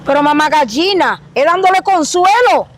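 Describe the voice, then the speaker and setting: A woman's voice: high-pitched, animated, moderate pace, slight background noise. A woman voicing a flirtatious neighbor who coaxes and comforts. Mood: coaxing. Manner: coaxing, flirtatious.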